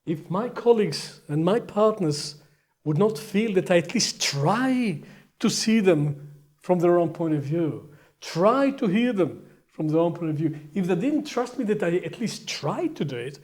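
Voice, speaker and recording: A middle-aged Hebrew-speaking man with an Israeli accent, teaching empathy in short, deliberate statements that pause for an interpreter. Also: high voice, big pitch swings, a little mellow. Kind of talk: lecture with live interpreter.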